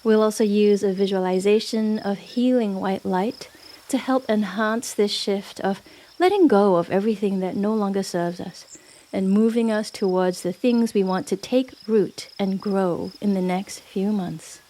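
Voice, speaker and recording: Woman doing guided yoga nidra, warm relaxed tone, gentle and unhurried, lots of space between phrases. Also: mid-range voice. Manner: gentle, unhurried instruction with long pauses. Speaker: meditation guide. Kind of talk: guided yoga nidra meditation, soothing narration.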